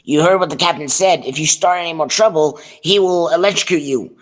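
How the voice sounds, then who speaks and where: Teen boy as a high-voiced scheming prisoner. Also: fairly quick, a bit bright, natural voice. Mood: hushed urgency. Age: teenager. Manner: earnest, instructing. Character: steady, scheming fellow prisoner plotting escape. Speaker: student actor. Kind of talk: old-time radio serial acting.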